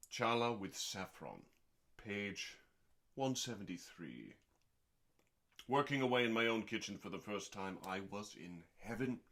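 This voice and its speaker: A man reading aloud as host in a calm, dramatic bedtime style, with deliberate theatrical pauses between short phrases. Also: lowish voice. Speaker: host and reader. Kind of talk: dramatic, calming audiobook-style cookbook reading.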